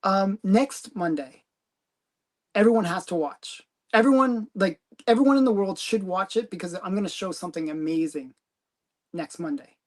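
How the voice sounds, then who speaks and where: A woman's voice: medium pitch, expressive, moderate pace, darkish tone. A woman hosting a casual comic collecting livestream, chatty and rambling, giggling often. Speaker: host of a comic collecting channel.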